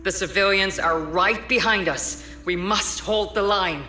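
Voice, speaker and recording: A woman voicing a steady, commanding military captain in a video game, with a firm, authoritative delivery. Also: medium pitch, a little slow, roomy sound. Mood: resolute.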